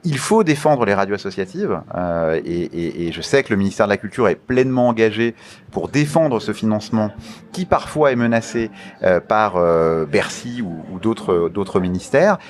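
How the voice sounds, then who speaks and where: A man giving a formal interview answer as a guest, speaking in a measured, deliberate way with frequent pauses. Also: fairly low pitch, expressive, a little hiss or hum. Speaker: interview guest, media regulator official.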